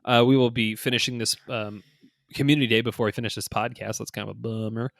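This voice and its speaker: A man chats casually as a gaming podcast co-host, at a middling pitch. His talk is loose and conversational, full of 'like' and 'you know'. The sound is close and dry, with no room to it. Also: little pitch movement, quick pace, creaky, fairly bright tone, no echo.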